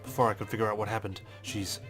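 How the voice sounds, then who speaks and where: A man reading visual novel text aloud as a let's player, in a measured, understated storytelling manner with brief asides. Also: fairly low pitch, fairly even intonation, a bit bright. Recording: music behind the voice. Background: game music.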